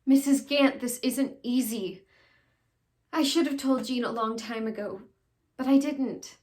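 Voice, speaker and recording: A woman acting an earnest, conflicted young woman in a halting, pleading stage monologue of confession and farewell. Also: sits high. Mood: pleading, emphatic. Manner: halting, pleading, with long pauses and hushed phrases.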